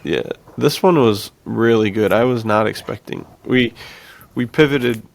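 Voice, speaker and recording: Very creaky-voiced man chatting casually. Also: medium pitch, unhurried. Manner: laid-back, jokey, informal slang. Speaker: podcast co-host. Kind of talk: casual film discussion.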